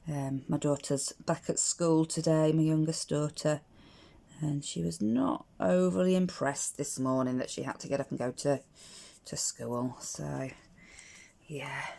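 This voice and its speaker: A middle-aged woman with a croaky voice, chatting casually through a crafting tutorial at home, hesitant and full of ums and restarts. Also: sits low, fairly even intonation, moderate pace, darkish tone. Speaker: crafter demonstrating card making.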